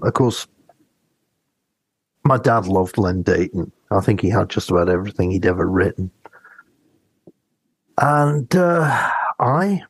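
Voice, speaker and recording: A man co-hosting a podcast, talking casually about books and telling personal anecdotes in a hesitant, reflective, halting way. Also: fairly low pitch, breathy edge, dry recording. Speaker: podcast co-host.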